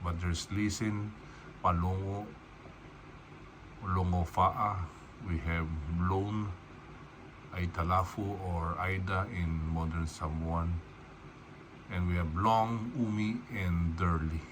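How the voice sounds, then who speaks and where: Very deep, slow man teaching. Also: fairly even intonation, noisy background. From Philippines. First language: Tagalog. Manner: unhurried, word-by-word, spells words out. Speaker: language teacher. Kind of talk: casual vocabulary lesson.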